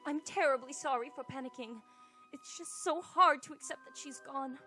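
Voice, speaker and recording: A woman acts out a sweet young lady caught up in a cartoon murder mystery. Her voice is high and bright, with a refined, pleading, melodramatic manner. Faint music plays behind her. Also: normal speed. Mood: subdued, polite. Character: distraught sweet young lady murder suspect, genteel and dramatic. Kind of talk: animated murder-mystery parody acting.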